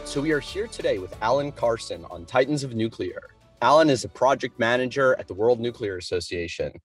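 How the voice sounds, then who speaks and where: A man's voice: mid-range voice, animated, brisk, over music. A man hosting an interview podcast, asking informal, rambling questions. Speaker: podcast host. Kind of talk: casual interview.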